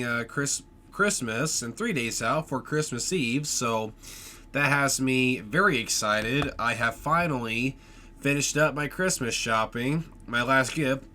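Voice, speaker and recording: A man's voice: medium pitch, bright, forceful tone, slight background noise. A man hosting his own podcast in an upbeat, chatty way, sounding nasal and stuffed up from a cold, with frequent fillers and restarts. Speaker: podcast host. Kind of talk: casual solo podcast talk.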